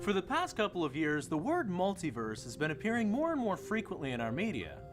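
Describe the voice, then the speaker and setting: A man voicing a smooth corporate announcer for a promotional show, with a polished, salesy delivery. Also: sits high, wildly swooping pitch, brisk. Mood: upbeat pitch. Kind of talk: scripted corporate promo narration. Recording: music bed behind voice.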